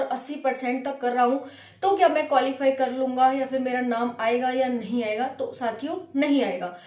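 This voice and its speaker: A woman's voice: high-pitched, a little mellow. A woman presenting an informal exam update for candidates, instructional in manner, posing questions and then answering them herself. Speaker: exam-update presenter for a job-preparation channel. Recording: echoey room, distant from the mic.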